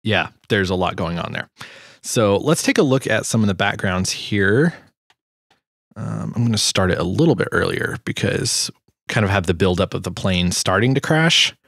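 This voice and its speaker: A man in his twenties talking casually through his sound design work, relaxed and self-deprecating, close to the microphone. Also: fairly low pitch, moderate pace, gravelly, husky, no room sound. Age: 20s. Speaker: sound designer hosting a tutorial. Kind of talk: casual screen-share walkthrough. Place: small home studio room. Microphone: close boom-arm microphone.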